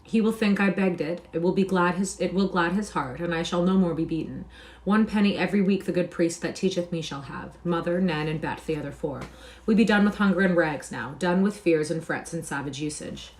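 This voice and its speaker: A woman voicing an excitable poor boy who speaks in archaic, exclamatory phrases. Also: fairly low pitch, a little fast, lightly acted. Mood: eager. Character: excitable poor boy dreaming of becoming king.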